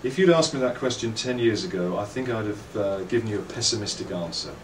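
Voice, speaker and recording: A middle-aged man with an English accent, giving reflective, articulate interview answers in measured phrases and telling anecdotes as a company owner and designer. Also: lowish voice, expressive. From England. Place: indoors at a trade show. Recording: roomy, distant mic, faint background noise.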